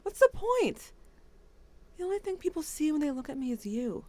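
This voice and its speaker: A woman's voice: high-pitched, sing-song, moderate pace, a little mellow. A woman voicing a grieving teenage boy who writes to his dead brother, wry and bitter, with a subdued tone. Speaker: narrator. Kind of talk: audio drama monologue.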